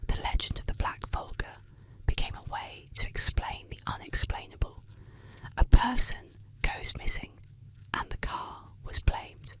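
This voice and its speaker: A woman whispers an ASMR story right up close to the microphone. She reads eerie legends from a script in short, deliberate phrases, leaving soft pauses between them. The whole delivery stays hushed and breathy, with almost no voiced tone.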